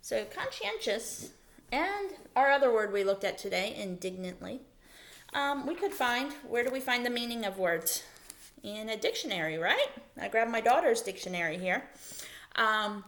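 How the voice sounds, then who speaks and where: A woman's voice: on the high side, a bit bright. A middle-aged woman teaching a read-aloud lesson to children, explaining carefully, stressing key words and checking in as she goes. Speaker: elementary school teacher.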